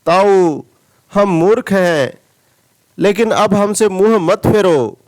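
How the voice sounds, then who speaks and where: A man's voice: high voice, slow, darkish tone, no room sound. A man voicing a humbled goose in a storybook tale, pleading respectfully and apologetically. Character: humbled, pleading goose begging elder for help.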